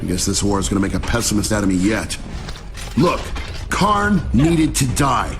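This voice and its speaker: A wry, defiant soldier, loud music. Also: male voice, on the low side, big pitch swings, normal speed. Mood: weary, resolute. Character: male soldier, defiant squad lieutenant, wry and cocky. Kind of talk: video game cutscene acting.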